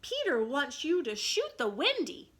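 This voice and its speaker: A woman voicing a jealous, bossy little fairy with a shrill voice in a storybook. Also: huge pitch swings, unhurried, fairly bright tone, exaggerated voice. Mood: commanding.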